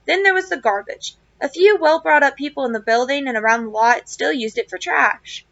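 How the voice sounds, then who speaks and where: Female educator for children's read-aloud, high-pitched and bright, expressive emphasis, dry close sound. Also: brisk, dry recording, dull audio. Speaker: teacher reading a book aloud to children. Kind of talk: read-aloud of a children's novel with casual asides.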